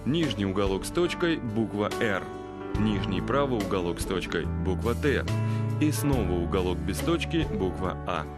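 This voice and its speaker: A man's voice: on the low side, lively intonation, normal speed, loud music behind the voice, close to an everyday voice. A man voicing a know-it-all robot compass in a children's cartoon, explaining things patiently with a slightly teasing, instructive manner.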